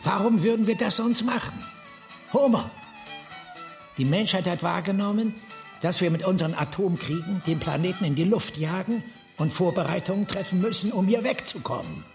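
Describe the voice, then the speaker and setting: High, caustic, eccentric man. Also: normal speed, soft background music. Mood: sardonic. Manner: declamatory, sardonic. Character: cynical, eccentric philosophizing brother, excitable and caustic. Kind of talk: radio drama dialogue.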